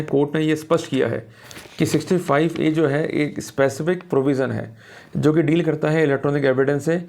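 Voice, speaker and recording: A man's voice: on the high side, vocal fry, darkish tone. A man with an Indian accent lecturing on law in a didactic way, reading passages out and explaining them. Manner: didactic, checks understanding with short questions; reads English passages slowly and deliberately, explains quickly in Hindi.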